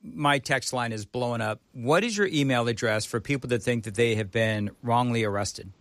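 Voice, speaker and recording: A man's voice: medium pitch, moderate pace, fairly bright tone, dry recording. A man hosting a talk radio show in a casual, bantering style.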